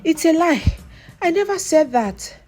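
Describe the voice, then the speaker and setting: An eager, theatrical young gossip from a folktale, acted out by a woman storyteller. She wheedles and exclaims in a high voice that leaps about freely. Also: sing-song, unhurried, darkish tone, soft background music, heavily acted. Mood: defensive denial. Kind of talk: animated folktale character voice.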